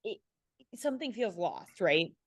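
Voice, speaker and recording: A woman's voice: medium pitch, big pitch swings, measured pace, fairly bright tone, breathy edge. A woman speaking conversationally as a panelist on a video call, often correcting herself and checking in with "right?".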